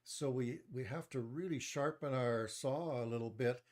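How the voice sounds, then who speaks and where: A soft-spoken man giving a live online stock market review into a desktop mic, measured, with frequent pauses and restarts. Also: mid-range voice. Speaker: presenter of a stock market webinar. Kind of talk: live online presentation, reading chat comments.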